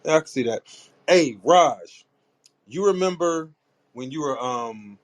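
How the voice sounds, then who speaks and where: A man's voice: fairly high pitch, lively intonation. A man hosting a podcast in casual chat, rambling and joking, sometimes reading a book passage aloud. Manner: reads passages aloud haltingly. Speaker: podcast host.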